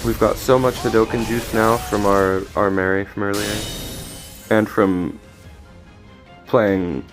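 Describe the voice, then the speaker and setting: A man casually commenting on his card game as he plays, thinking aloud with frequent restarts, his voice a little raspy today. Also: lowish voice, steady tone, slow pace, long pauses, music in the background. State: slightly raspy voice. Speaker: streamer.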